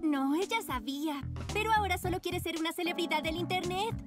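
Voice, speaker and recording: Bossy, very high-voiced cartoon girl. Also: a little fast. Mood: wistful. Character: bossy, playful teenage girl with dramatic flair. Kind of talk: animated cartoon dub. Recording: music behind the voice.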